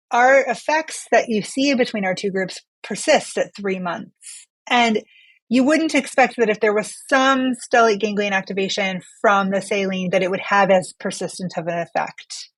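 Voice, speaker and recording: A woman's voice: medium pitch, no room sound, low-quality recording. A woman physician researcher speaking as an interview guest, earnest and emphatic. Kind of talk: conversational expert interview.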